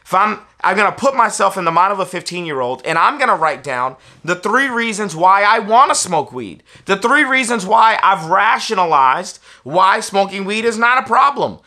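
A man's voice: high voice, very animated, moderate pace, few pauses, bright, forceful tone. An American man in his thirties, a preacher giving an instructional talk in an emphatic, persuasive manner, repeating key phrases. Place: small furnished indoor room, seated on a sofa.

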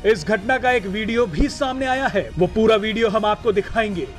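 A man's voice: high-pitched, normal speed, faint music behind. A man reading a scripted television news report as a narrator.

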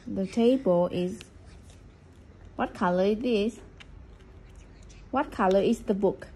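A woman's voice: medium pitch, takes it slowly, mellow, breathy edge, poor audio. A woman, a mother prompting a child through a picture book with short questions and repeated words. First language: Vietnamese. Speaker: mother teaching her child English. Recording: faint ambient noise, occasional clicks.

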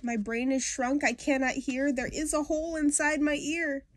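A woman's voice: sits high, level tone, normal speed, dry recording, clean studio sound, voice pushed up, natural voice. A woman voicing a whiny little girl who complains melodramatically about her ailments in a storybook poem. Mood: whiny, frantic.

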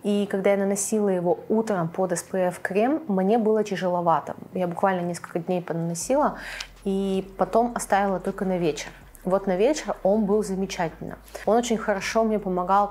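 A young adult woman explaining products to camera in a measured way, as a beauty vlogger. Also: on the low side, darkish tone. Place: small furnished room indoors.

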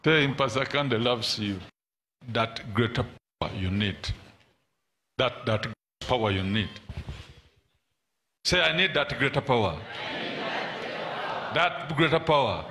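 A man's voice: mid-range voice, lively intonation, slow pace, pauses often, bright, forceful tone. A middle-aged man preaching and praying commandingly in short repeated phrases to a congregation in a large hall. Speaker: preacher leading prayer and prophecy. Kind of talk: deliverance prayer and prophecy to congregation. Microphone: handheld microphone. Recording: noisy hall PA with ambient sound.

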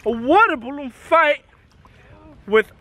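A man's voice: extremely high pitch, animated, takes it slowly, bright, forceful tone. A young man joking and laughing as he hosts a casual family vlog in a backyard, speaking into a handheld camera. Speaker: vlogger. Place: backyard outdoors. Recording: outdoor noise.

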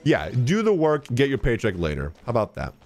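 Animated young male game streamer. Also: medium pitch, a little fast. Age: young adult. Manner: slangy, teasing. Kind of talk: casual live reaction commentary. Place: indoor streaming room. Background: game music.

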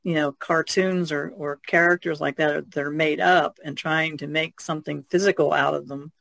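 A man's voice: a little high, fairly even intonation, normal speed, gravelly, fairly bright tone, no room sound. A man in a casual podcast discussion, explaining his thoughts in a tentative way.

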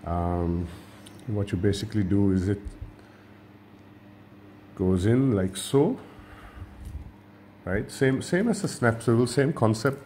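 A man from Sri Lanka, a fishing channel host, giving a relaxed hands-on tutorial at a table and often repeating and restarting his words. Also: fairly low pitch, takes it slowly, long pauses, a little hiss or hum.

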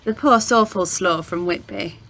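A woman playing a dry, stoical, plain-spoken young woman in a radio play, with deadpan delivery. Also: medium pitch, measured pace, a little hiss or hum. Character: dry, pragmatic young working-class woman, wry and stoical.

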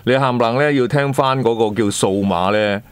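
A man's voice: medium pitch, a little fast, clear, resonant tone, no echo. A man co-hosting a radio chat show in a small studio, explaining things with a Hong Kong Cantonese accent. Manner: explanatory, recalls old radio practice. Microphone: boom mic on a stand.

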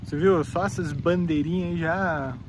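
A man's voice: a little high, steady tone, normal speed, background noise. A man in his thirties from Brazil, chatting casually to viewers while filming himself on a phone outdoors at a motocross track. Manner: chatty, addresses viewers directly. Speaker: vlogger. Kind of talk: casual walk-and-talk vlog. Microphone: phone at arm's length.

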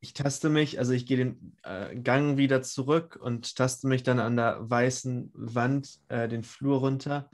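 A young man takes part in an online tabletop roleplay. He speaks in character, in halting phrases with frequent repeats. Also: medium pitch. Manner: halting, repetitive. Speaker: tabletop player.

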